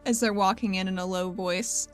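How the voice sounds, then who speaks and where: A woman at a middle pitch, playing a tabletop game and narrating what her character does. Her delivery is playful and upbeat. Faint music plays in the background. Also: steady tone, normal speed, clean tone. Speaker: player.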